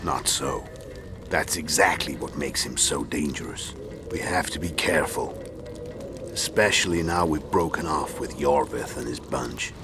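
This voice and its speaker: Game role: calculating assassin leader, rock-bottom pitch, measured authority, clear music underneath. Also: male voice. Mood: stern. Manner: measured, commanding, terse. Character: very deep-voiced male assassin leader, calm, calculating. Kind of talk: dramatic video game dialogue. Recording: music behind the voice.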